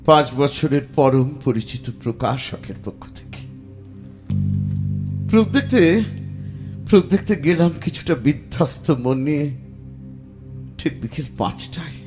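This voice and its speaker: A man playing an earnest, wounded professor and writer in an audio drama, speaking in a grave, dramatic, deliberate way. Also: on the high side. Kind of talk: dramatic audio story narration and dialogue.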